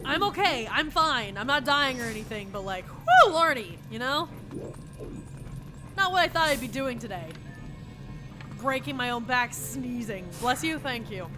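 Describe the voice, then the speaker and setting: A high-voiced young woman with a twang, stuffy from allergies, keeps up sarcastic running commentary at her desk mic while she plays. She talks back to the characters and her chat. Game music plays clearly behind her. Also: expressive, measured pace. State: sniffly from allergies. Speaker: streamer. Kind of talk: casual let's-play commentary.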